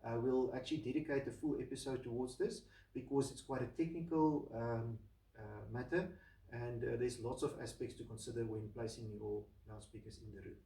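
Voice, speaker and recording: Guy doing a casual home video, soft dark tone, slow careful halting talk, sounds roomy and far from the mic. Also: medium pitch, fairly even intonation. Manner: halting, deliberate, measured phrasing. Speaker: host of an audio equipment video channel. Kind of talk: casual video presentation to viewers. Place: room at home. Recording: roomy echo, distant microphone.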